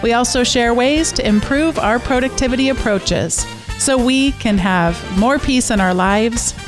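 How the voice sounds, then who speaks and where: A woman's voice: on the high side, measured pace, over music. A woman hosting a podcast interview, speaking in a friendly, conversational way. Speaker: podcast host.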